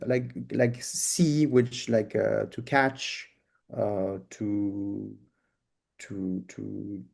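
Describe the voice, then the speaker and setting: A man's voice: on the low side, little pitch movement, drawn-out words, creaky, a little mellow. A man with a French accent, answering interview questions in a loose, hesitant way with many restarts. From France. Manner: hesitant, many restarts and fillers while explaining. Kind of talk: casual conversational interview answers.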